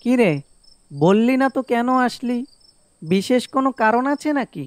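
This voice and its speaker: A man's voice: very high-pitched, lively intonation, moderate pace, dry recording. A man voicing earnest, troubled young village friends in sentimental cartoon dialogue. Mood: curious.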